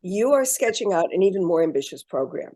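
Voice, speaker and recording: A woman leads an online workshop over a video call. Her voice is warm and mellow. She speaks in a measured, encouraging way, pausing on ums and restarting phrases. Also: lowish voice, big pitch swings. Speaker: program presenter.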